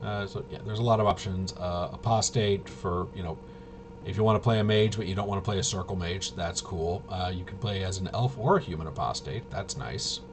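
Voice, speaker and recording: A man giving a casual, unscripted walkthrough as a host, rambling and halting, with muttered asides to himself. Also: deep voice, background noise. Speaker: host of a tabletop RPG review video.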